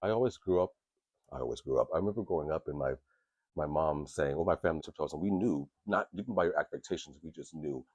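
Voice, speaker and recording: Very deep husky man, candid and rambling. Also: fast talker, darkish tone, no echo. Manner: candid, profane and rambling, with many restarts. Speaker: podcast host. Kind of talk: casual podcast talk.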